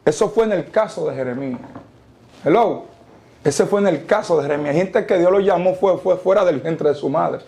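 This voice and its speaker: Dominican man with a gravelly, mellow voice. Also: sits high. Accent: Dominican Spanish. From Dominican Republic. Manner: preacherly, quotes scripture, slow emphatic pauses. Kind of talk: testimony interview.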